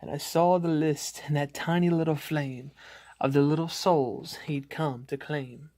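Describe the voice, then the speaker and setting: A man voicing a frightened father who narrates a scary Christmas poem in a theatrical, ominous, drawn-out manner. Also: fairly high pitch, fairly even intonation, mellow, no echo. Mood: grim dread. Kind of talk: dramatic storybook poem reading.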